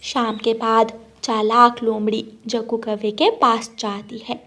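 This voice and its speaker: A woman's voice: a little high, little pitch movement, measured pace, a bit bright. A woman narrator reading a scripted moral story for children.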